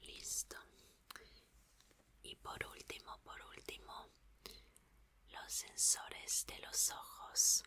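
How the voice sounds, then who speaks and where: A woman doing an ASMR roleplay as a gentle, reassuring scientist, whispering soothingly and softly repeating her words. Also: drawn-out words, pauses often. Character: gentle, reassuring female scientist repairing an android.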